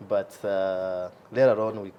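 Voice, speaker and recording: Deep-voiced young man, hesitant. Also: fairly even intonation, unhurried, muffled recording, slight background noise. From Uganda. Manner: hesitant, many fillers, easy laughs. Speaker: guest, entrepreneur. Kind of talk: casual interview.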